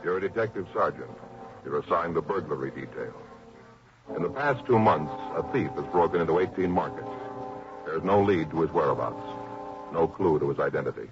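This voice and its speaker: A stern crime-show narrator with a very deep voice. He speaks in terse, grave statements over music, on a muffled old radio recording. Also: lively intonation, brisk. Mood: grave, urgent. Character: grave male narrator addressing listener as a detective. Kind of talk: 1950s radio drama intro, terse second-person narration.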